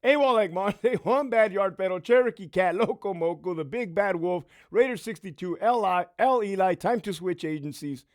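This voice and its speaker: A man's voice: sits high, normal speed, hardly any pauses, no room sound, studio quality. A man hosting his own channel, a former prison officer talking in a brash, profane, streetwise way and laughing often. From California. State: losing his voice. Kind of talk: casual profane monologue, reading supporter names.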